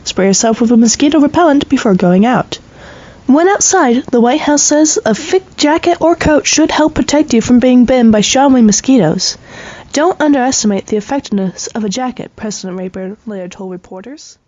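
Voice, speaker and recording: A woman's voice: on the high side, animated, brisk, darkish tone, dry recording. A woman playing a serious, composed radio news anchor, reading scripted bulletins in an audio drama. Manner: crisp newsreader delivery, serious. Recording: dull, dry, slightly lo-fi radio sound.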